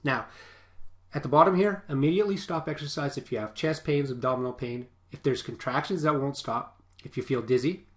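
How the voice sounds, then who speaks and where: A man who works as a personal trainer gives casual fitness advice with a stuffy, sniffly voice, often checking in with a quick "okay?". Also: mid-range voice. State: stuffy, sniffly from a nasal infection.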